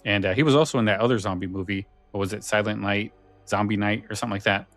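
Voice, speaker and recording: Casual man, fairly low voice. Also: steady tone, quick pace, a bit bright, soft background music. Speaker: podcast host. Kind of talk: casual chat.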